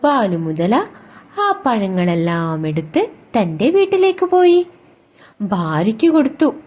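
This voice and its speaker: A woman storyteller narrating a scripted bedtime story for young children in a drawn-out, expressive way. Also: mid-range voice.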